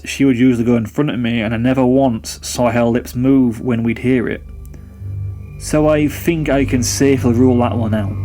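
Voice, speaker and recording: A young adult man recounts a personal ghost story in a conversational, reflective and slightly rambling way. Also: medium pitch. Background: background music.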